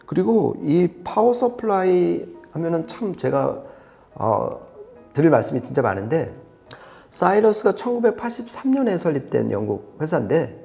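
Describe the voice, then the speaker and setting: A man's voice: a little high, very animated, normal speed, creaky, mellow, faint music behind. A man explaining products conversationally as an audio reviewer, with an emphatic manner.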